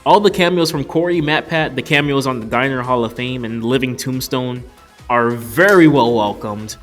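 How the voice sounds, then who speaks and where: A man in his twenties narrates an opinionated movie review. His pitch is average and his delivery is informal, with music playing behind him. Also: moderate pace. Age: 20s. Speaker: video reviewer.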